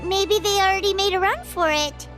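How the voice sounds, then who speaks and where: A woman voicing a tiny, chatty, childlike fairy companion in a video game, squeaky, excitable and blunt. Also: moderate pace, faint music behind. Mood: curious, blunt.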